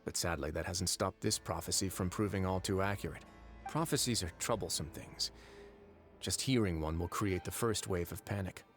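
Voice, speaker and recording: An actor playing a composed, wry young duke in a video game, speaking in a deliberate, measured storytelling manner. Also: male voice, low voice, soft background music, lightly acted. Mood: calm, measured. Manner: deliberate, dryly wry, unhurried. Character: composed, wry young duke who runs an underwater prison fortress.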